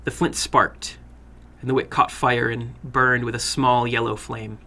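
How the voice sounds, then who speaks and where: A man narrating a horror story dramatically, in a deliberate, suspenseful manner. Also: mid-range voice, flat delivery, sharp tone. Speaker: horror fiction reader and host.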